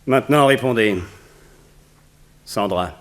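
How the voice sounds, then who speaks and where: An actor playing a cold, domineering villain who commands and interrogates in a 1960s radio drama. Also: male voice, medium pitch, takes it slowly, natural voice. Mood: coldly probing. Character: cold domineering male villain, a hypnotist master giving orders.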